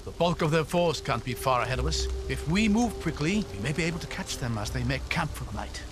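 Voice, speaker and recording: An actor playing a loyal, compassionate male travelling companion in a video game cutscene, earnest and expressive in his delivery. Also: a little high, brisk, lightly acted. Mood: concerned.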